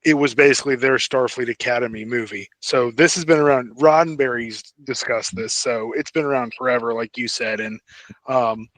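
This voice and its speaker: A man co-hosting a podcast in casual fan chat, thinking aloud in a halting way. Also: medium pitch, a bit bright, dry recording, poor audio. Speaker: podcast co-host.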